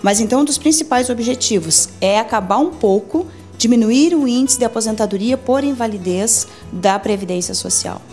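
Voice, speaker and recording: A woman's voice: fairly high pitch, expressive, normal speed, hardly any pauses, darkish tone, soft background music. A woman in her forties with a Brazilian accent, a lawyer methodically explaining legal rules in a TV interview in an office, into a handheld mic. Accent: Brazilian Portuguese. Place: office meeting room.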